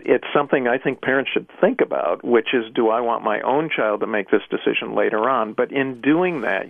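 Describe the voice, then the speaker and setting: A man's voice: mid-range voice, gravelly, dry recording. A man speaking as a pediatrician guest, explaining carefully and hesitantly with many fillers, heard over a phone line. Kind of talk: measured expert explanation.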